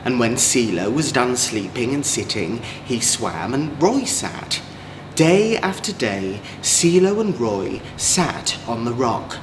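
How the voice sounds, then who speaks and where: A man with an English accent, a drag queen storyteller, reading a children's story in an expressive, gentle way. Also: mid-range voice, slow pace. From Manchester, England. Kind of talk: children's storybook read-aloud. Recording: echoey room, clear background noise.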